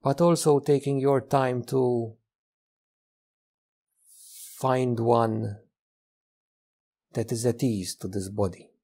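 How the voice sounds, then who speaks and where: A man leading a guided meditation as a teacher, speaking gently in short, unhurried phrases with long pauses. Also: medium pitch, fairly even intonation.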